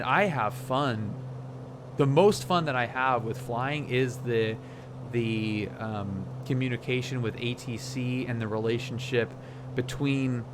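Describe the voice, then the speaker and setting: A man talking casually and reflectively on a livestream, often halting to search for words. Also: mid-range voice, background noise. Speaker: flight sim streamer.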